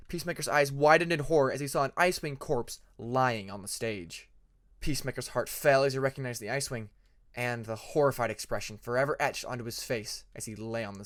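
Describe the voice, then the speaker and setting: A man narrating a fantasy story like an audiobook, in an expressive, dramatic manner. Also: medium pitch. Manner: expressive, acts out dramatic passages. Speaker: fan fiction narrator.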